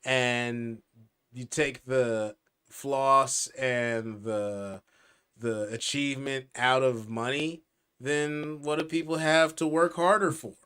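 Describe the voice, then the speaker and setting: A man's voice: medium pitch, steady tone, takes it slowly, a bit bright, clean tone. An American man co-hosting a podcast in casual chat, swearing freely and giving blunt opinions. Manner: profane, opinionated. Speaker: podcast co-host.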